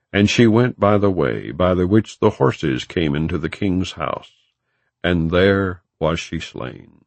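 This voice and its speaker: A man narrating scripture as an audiobook, in a solemn, formal and measured way without dramatizing it. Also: low voice, vocal fry, a little mellow, no echo.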